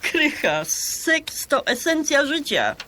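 A woman's voice: sits high, big pitch swings, slow, pushed and bright, slight background noise, full character voice. An actress in a radio drama playing a brash, flirtatious Polish woman around sixty who banters and peppers her Polish with English words. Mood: cheeky.

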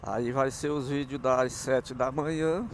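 Slow-talking northeastern Brazilian man on a motorbike. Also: mid-range voice, steady tone. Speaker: motovlogger. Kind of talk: casual vlog narration while riding. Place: outdoors, riding a motorcycle on town streets. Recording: motorbike and street noise. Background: engine and traffic sounds.

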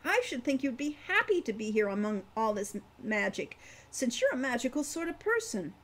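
A woman voicing an impulsive, excitable young girl who talks eagerly and pushes her point. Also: high-pitched, moderate pace, pitched above the performer's own voice. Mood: annoyed. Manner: bubbly, eager, pushy.

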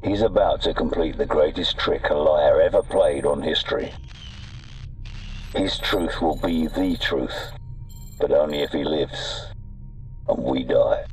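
An actor playing a grim, hardened British soldier who delivers a bitterly resolute monologue in a grave, deliberate way with a British accent. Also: male voice, very deep voice, recorded from a distance, faint music behind, rough recording. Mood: grim resolve. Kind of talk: dramatic scripted monologue.

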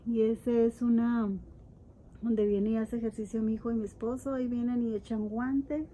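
A woman's voice: fairly high pitch, level tone, normal speed, mellow, low-quality recording. Chatty woman giving a home tour. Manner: chatty, homely, addresses viewers directly. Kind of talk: casual home tour narration. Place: house and yard, indoors and outdoors. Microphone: phone camera held while walking.

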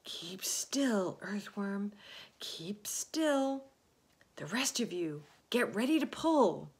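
A woman voicing a brave, bossy young boy in a storybook, urgent, moving between hushed orders and shouts. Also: high voice, very animated, measured pace, breathy edge, pitched above the performer's own voice, exaggerated voice. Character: brave, commanding young boy leading animal friends.

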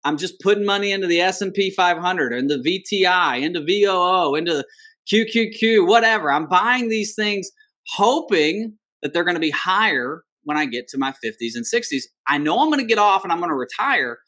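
A man in his thirties, a financial advisor, walking viewers through a retirement plan in a relaxed, conversational way, talking with his hands from a home office. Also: high voice, brisk. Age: 30s. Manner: conversational, animated with hand gestures. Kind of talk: explaining retirement planning on screen share.

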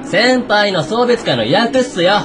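Breezy junior man, very varied pitch. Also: lively intonation, unhurried, noisy background. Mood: flippant. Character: breezy, slangy junior male office worker. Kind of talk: naturalistic radio drama acting.

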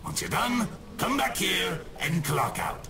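A man's voice: high-pitched, sing-song, takes it slowly, vocal fry, exaggerated voice. A man voicing a gleeful, theatrical shadowy ghost villain in a video game, mocking and menacing, with a taunting, hammy delivery that swings from mutters to shrieks. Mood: sly, mocking. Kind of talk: exaggerated cartoon video game villain acting. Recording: loud game music behind the voice. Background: loud video game music.

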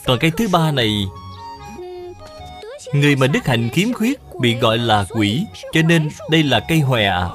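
A man's voice: mid-range voice, sing-song, normal speed, over music, natural voice. A man voicing a polite, earnest little boy in an educational cartoon, speaking formally and respectfully. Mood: polite, eager. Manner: respectful, formal beyond his years. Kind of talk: dubbed educational cartoon acting.

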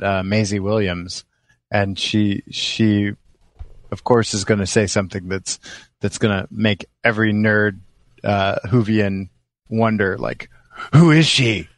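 A man chats casually as a podcast co-host. His voice sits on the low side, and the sound is dry with no room echo. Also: steady tone, measured pace. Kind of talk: casual chat.

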